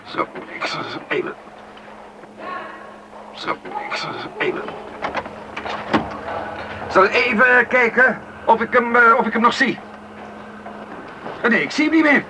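A man's voice: very high voice, measured pace, long pauses. An actor playing a busy, easygoing father in a radio play, muttering little asides as he organises things. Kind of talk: radio play acting, natural dialogue. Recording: noisy radio play sound scene.

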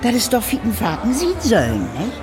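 An actress playing a nosy, chatty older village gossip with a Northern German accent. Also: female voice, fairly high pitch, huge pitch swings, a little fast. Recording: music behind the voice.

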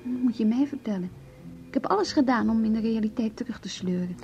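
A woman's voice: on the high side, quick pace, a little mellow, lightly acted. An actress in a radio play voicing a weary, hurt wife in her forties who confides about her marriage. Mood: hurt, reflective. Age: 40s. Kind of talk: Dutch radio play acting. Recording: dull lo-fi radio play recording, music.

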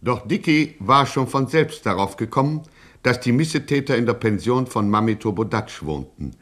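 A man's voice: mid-range voice, expressive, normal speed, fairly bright tone. A man narrating a radio crime satire in a scripted mock-epic style, pompous and grandiose.

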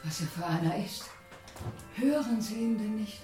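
A woman's voice: medium pitch, animated, moderate pace, mellow, reverberant, soft background music, natural voice. An actress playing an anxious middle-aged landlady and mother in a German radio play, curt and commanding. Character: anxious, strict landlady, wife and mother in old London.

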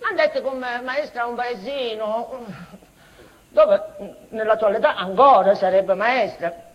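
High-pitched unhinged former schoolteacher woman. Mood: wistful, halting. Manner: halting, repetitive, swinging between outbursts and muttering. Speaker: stage actress in a monologue. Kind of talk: theatrical comic-tragic monologue, broken invented dialect. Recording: dull, noisy theatre recording.

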